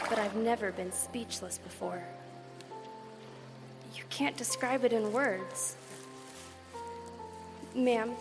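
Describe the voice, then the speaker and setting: An eager on-the-scene radio reporter. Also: female voice, a little high, vocal fry. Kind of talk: live radio drama acting. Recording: live stage with piano music.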